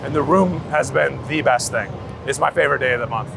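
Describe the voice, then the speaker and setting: Gravelly man chatting on a city street. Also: mid-range voice, a little fast. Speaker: street photographer being interviewed. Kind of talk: casual interview chat on the street. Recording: outdoor city street noise, traffic.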